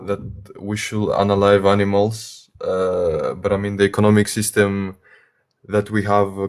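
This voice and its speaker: An eighteen-year-old man, not an English speaker, calling in to a debate and speaking haltingly with many uhs. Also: fairly low pitch, clear, resonant tone. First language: Greek. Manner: halting, hesitant, many uhs, apologetic about his English. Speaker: caller. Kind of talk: casual call-in debate.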